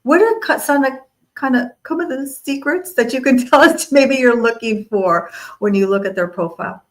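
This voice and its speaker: A woman's voice: high-pitched, normal speed. A woman hosting an interview show in a conversational style, setting up questions for a guest. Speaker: interview host.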